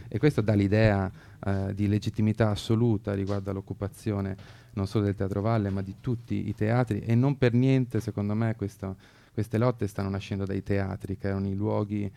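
A man with a low, creaky voice that stays quite flat. He sounds mellow and close-miked, explaining political views earnestly in halting, measured phrases.

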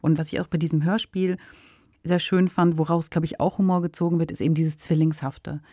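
Woman, very low pitch, soft mellow tone, conversational podcast co-host. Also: level tone, fairly quick, no room sound, studio quality. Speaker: podcast co-host, fiction writer. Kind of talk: conversational literary discussion.

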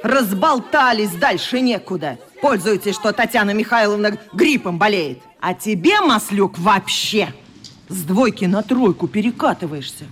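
A woman's voice: medium pitch, expressive, normal speed, natural voice. An actress playing a strict, indignant schoolteacher in a radio play, lecturing her class in a stern, haughty manner. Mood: scolding, severe.

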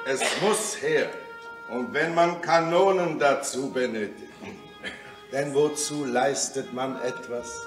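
An actor in a German radio play, playing a boisterous, philosophizing Parisian of the Commune era who declaims and sings. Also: male voice, medium pitch. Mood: proud, philosophizing. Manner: declamatory, theatrical. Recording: roomy, distant radio-play sound with loud music.

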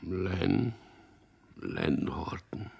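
An actor playing a weary, evasive prisoner in a radio drama, with a husky, gravelly voice that often sinks toward a whisper. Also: male voice, low-pitched, expressive, drawn-out words, warm tone, heavily acted. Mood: dazed, weary. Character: weary, evasive man, interrogated prisoner recalling his past. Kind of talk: radio drama acting with inner monologue.

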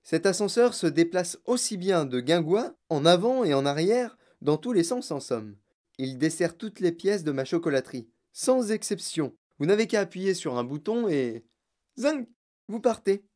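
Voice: mid-range voice, big pitch swings, darkish tone, voice pushed up, lightly acted. Someone playing an exuberant, swooping chocolate-factory showman. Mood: proud, excited. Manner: emphatic, brisk, proclaiming.

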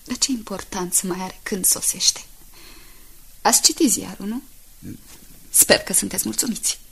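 An actress playing a bitter, despairing woman in a radio drama, speaking in a hushed, breathy, reproachful way. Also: medium pitch, full character voice.

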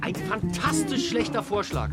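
A man's voice: extremely high pitch, wildly swooping pitch, a little slow, loud music behind the voice, heavily acted. A man playing a silly, cheeky little fish shipmate in a children's radio play, droll and playful. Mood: gleefully silly.

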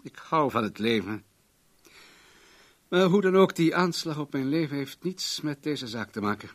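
An actor in a Dutch radio drama playing an imperious, self-satisfied millionaire with a grand, commanding manner. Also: male voice, on the high side, expressive, normal speed. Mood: assured, defiant.

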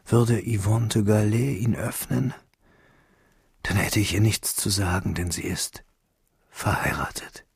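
An actor playing a grieving young schoolteacher who narrates his memories in a slow, hushed, sorrowful way for a radio drama. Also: lowish voice, no room sound, studio quality, exaggerated voice. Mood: resigned. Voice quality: husky, breathy, gravelly.

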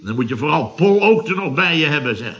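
An actor playing a grumbling, sarcastic middle-aged builder boss, blustering and exasperated, in 1970s Dutch radio comedy style. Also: male voice, fairly high pitch, big pitch swings, fairly quick, a bit bright.

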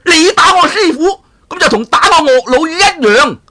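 A man voicing a brash, boastful male villain who shouts and squawks in a storytelling recording. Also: extremely high pitch, animated, moderate pace, noisy background, pitched above the performer's own voice. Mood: indignant.

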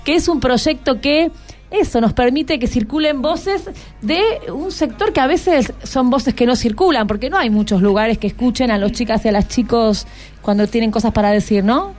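High-pitched Argentine woman hosting radio. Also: moderate pace, darkish tone, faint music behind. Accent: Buenos Aires Argentine. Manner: conversational, with frequent tag questions. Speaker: radio host. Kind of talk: live radio presenting and interviewing. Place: radio studio. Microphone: studio microphone.

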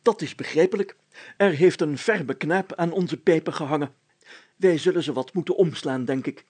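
A man's voice: a little high, moderate pace, darkish tone, slightly rough, voice pushed up. A man voicing a pompous, solemn village mayor in a storybook, speaking in a formal, declamatory and long-winded way. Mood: stately. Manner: pompous, declamatory, formal, stammering when flustered.

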